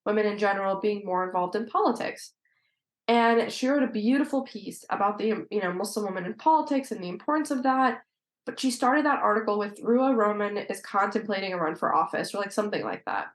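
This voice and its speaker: Female guest, legislator, conversational and explanatory delivery, medium pitch, echoey roomy recording. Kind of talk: conversational interview answers.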